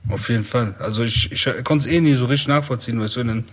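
A man's voice: medium pitch, fast. A man in his forties from Iran, co-hosting a podcast and chatting casually in street slang, calling his partner brother. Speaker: podcast co-host. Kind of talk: casual chat.

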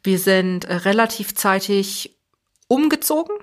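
A woman's voice: mid-range voice, animated, a little slow. A young woman who grew up in Germany, speaking as an interview guest in a thoughtful, earnest way, often restarting phrases as she thinks. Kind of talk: reflective conversational interview.

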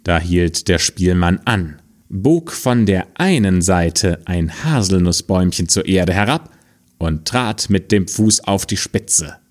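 A man reading a fairy tale aloud in a slow, expressive storytelling manner. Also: low voice.

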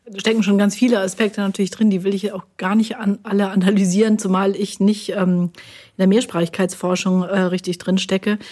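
A woman who teaches at a university, explaining terms in a measured, academic way and checking in with short tag questions. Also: medium pitch, level tone, darkish tone. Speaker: university linguist, interviewee.

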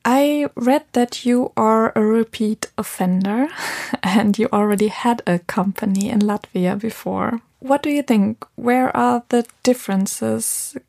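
Higher-voiced female interviewer with vocal fry. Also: a little slow, a little mellow. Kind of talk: interview questions.

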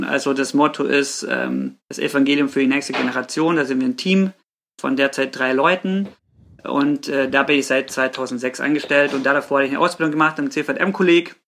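A man's voice: mid-range voice, fairly even intonation, a little fast, vocal fry, a bit bright, a little hiss or hum. A man in his late thirties from Swabia in southern Germany, chatting casually as a podcast guest. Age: late 30s. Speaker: podcast guest, youth church worker. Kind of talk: casual chat.

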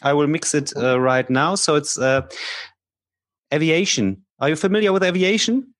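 A man's voice: on the high side, moderate pace, no echo, studio quality. A man with a German accent co-hosting a podcast, chatting loosely and laughing often as he mixes in German words. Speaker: podcast co-host. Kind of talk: casual bilingual chat.

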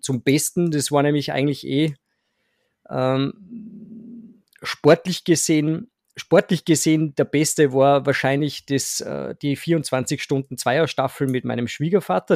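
A man's voice: mid-range voice, a little mellow, no room sound. Hesitant man with a dry voice. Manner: hesitant, many fillers and restarts, self-deprecating humor. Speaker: podcast guest, amateur cyclist. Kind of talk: casual podcast chat.

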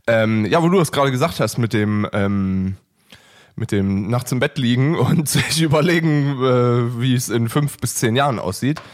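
A man's voice: medium pitch, normal speed. Joking man in his late twenties. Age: late 20s. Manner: joking, laughs often, fillers like ähm. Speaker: podcast co-host. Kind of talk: casual chat.

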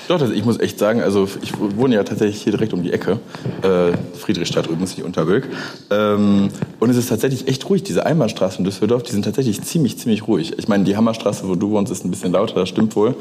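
A man's voice: fairly low pitch, fast talker, warm tone, a little hiss or hum. A man chatting casually as co-host of a live panel podcast. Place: open design office event space. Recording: reverberant live event hall.

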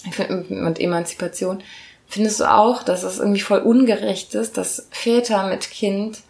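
A woman in her thirties chats casually as a podcast co-host. Her medium-pitched voice is rough and gravelly, with plenty of creak and a slight hoarseness from a cold. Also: fairly even intonation, normal speed, a little mellow. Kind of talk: casual conversation.

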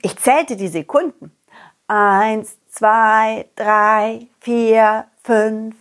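A woman storyteller narrating a children's tale in an expressive, gentle way made for young listeners. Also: on the high side, very slow.

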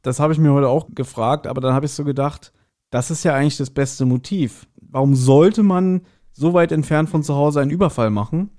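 A man's voice: fairly high pitch, a little fast, dark tone. A man co-hosting a podcast, recapping a story in casual, chatty conversation. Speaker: podcast co-host. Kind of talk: casual chat recapping an audio drama episode.

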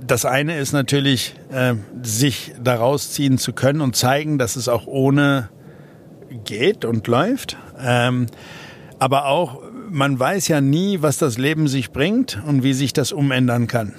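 A man's voice: mid-range voice, measured pace, slight background noise. A man from South Africa, an entrepreneur guest in an interview, talking casually with many fillers and restarts.

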